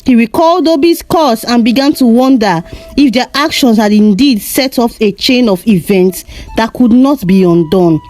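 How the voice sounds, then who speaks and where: Female storyteller for scripted folktale narration, measured and solemn, higher register with animated intonation.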